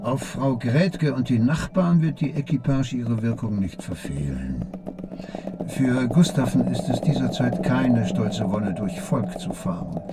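A man's voice: mid-range voice, lively intonation, a little slow, loud music behind the voice, natural voice. A man narrating a literary radio play in an observant, composed way.

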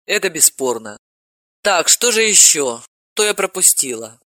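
A woman's voice: sits low, big pitch swings, a little slow, bright, forceful tone, dry recording, studio quality, exaggerated voice. A woman voicing a wry self-assured woman. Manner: wry, jaunty.

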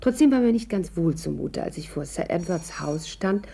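An actress in a 1950s German radio play playing a witty, self-possessed stage actress with a pert, dryly ironic manner. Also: female voice, fairly low pitch, expressive, normal speed, vocal fry, mellow, a little hiss or hum. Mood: wry narration.

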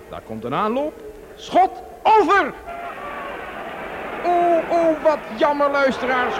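A dramatic football commentator on vintage radio. The voice is high and very animated, reporting play by play in an excitable style, over clearly audible noise. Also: male voice. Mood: excited. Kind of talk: old radio sports commentary. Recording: noisy old radio broadcast recording.

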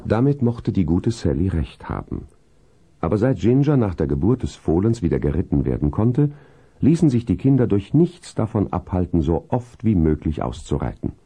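A man playing the storyteller of a children's horse tale, with a gravelly, husky voice and scripted storybook phrasing. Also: low voice, sing-song, normal speed, dark tone, exaggerated voice. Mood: calm, atmospheric. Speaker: narrator.

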